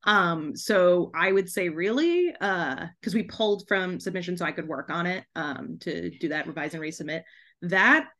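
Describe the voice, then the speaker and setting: A woman talking casually as an interview guest, chatty and animated, often interrupting herself mid-thought. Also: on the low side, normal speed. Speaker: podcast guest, debut author.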